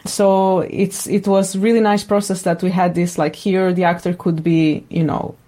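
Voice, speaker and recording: A woman's voice: lowish voice, level tone, moderate pace, mellow. A woman talks reflectively as an interviewed sound designer, thoughtfully searching for her words.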